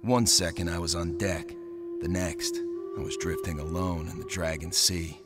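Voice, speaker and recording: Low, brooding, cocky young sailor. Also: male voice. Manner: hard-boiled, wry storytelling. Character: vengeful young navy lieutenant turned pirate, cocky and brooding. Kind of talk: video game cutscene narration and dialogue. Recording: music under the voice.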